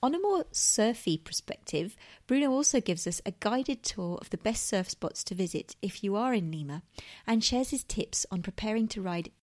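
A woman's voice: mid-range voice, darkish tone. A woman hosting a podcast, first reading a prepared introduction, then interviewing a guest with short encouraging replies. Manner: reads the intro steadily, then chats with encouraging fillers. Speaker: podcast host.

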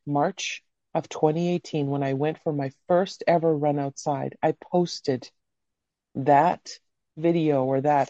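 A woman's voice: sits low, mellow, dry recording, highs cut off. A woman raised in Canada, talking as a podcast guest in a candid, rambling way with casual swearing. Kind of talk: casual interview chat.

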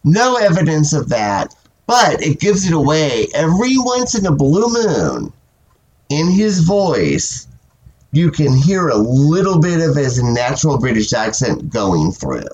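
A man hosting a podcast and chatting casually in a sassy, animated way, swearing often and sounding stuffy from a cold. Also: on the high side, unhurried, breathy edge, dull audio. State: stuffy with a cold, sore throat. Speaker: podcast host. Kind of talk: casual chat.

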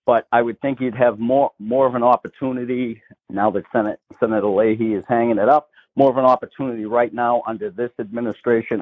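A middle-aged man with a Boston accent speaks bluntly over a thin, tinny phone line. He talks emphatically and often restarts his sentences as a guest calling in to a radio show. His voice sits at an ordinary pitch. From Massachusetts, north of Boston. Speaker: guest, state politician.

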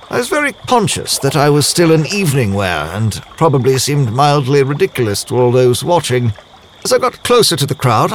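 An actor playing a genteel, proud nobleman diplomat, recounting his story in formal, measured language for an audio drama. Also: fairly high pitch, fairly bright tone, slight background noise. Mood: apprehensive, measured. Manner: formal, measured, literary diction. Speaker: voice actor, lead role. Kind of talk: first-person audio drama narration.

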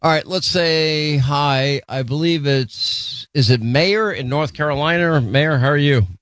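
A man's voice: fairly high pitch, measured pace, a bit bright, dry recording. A man hosting a talk radio show, commenting in an indignant, emphatic way into a studio mic. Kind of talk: opinion commentary.

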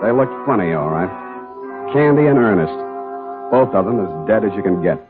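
A man's voice: lowish voice, expressive, normal speed, close to an everyday voice. A man acting a cool, wry private detective in a 1940s radio drama, clipped, matter-of-fact and understated. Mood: wry, grim. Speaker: lead actor and narrator of a detective radio drama. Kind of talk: 1940s radio acting, hard-boiled narration. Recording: muffled narrow-band old radio recording, music.

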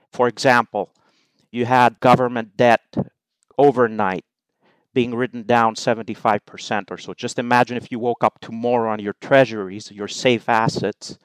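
A man from Greece whose first language is Greek speaks as an interview guest. His voice is smooth and clear at a middle pitch, in a dry close recording, and he sets out his points in a measured, methodical way. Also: no room sound, poor audio. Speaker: podcast guest, investment professional. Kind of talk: explanatory interview talk.

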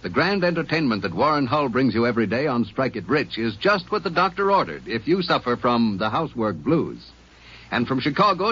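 A man's voice: medium pitch, husky. A man announcing programs for a radio network in a 1950s broadcast. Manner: lively promotional delivery. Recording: muffled old radio broadcast.

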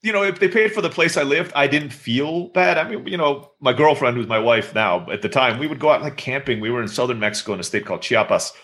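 Fast-talking man with a bright voice. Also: fairly low pitch. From Turkey. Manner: chatty, storytelling with many fillers. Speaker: guest. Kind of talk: casual interview conversation.

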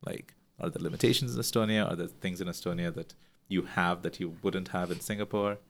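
A man hosts a casual conversation, asking easygoing questions. His voice is very deep, and he often stalls on "uh" and "like" mid-sentence. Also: a little fast. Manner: relaxed interviewer, hesitant with "uh", laughs along. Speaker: host. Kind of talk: casual chat.

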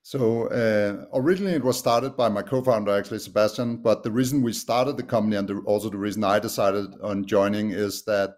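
A middle-aged man from Denmark with a Danish accent, relaxed and chatty as a podcast guest. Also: on the low side, a little fast, few pauses. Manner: relaxed, frequent restarts and fillers. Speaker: podcast guest, SaaS co-founder. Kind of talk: casual interview chat.

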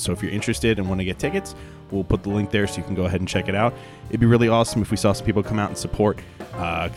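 A man hosting a podcast in casual chat, laid-back and rambling, with lots of fillers. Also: on the low side. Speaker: podcast host.

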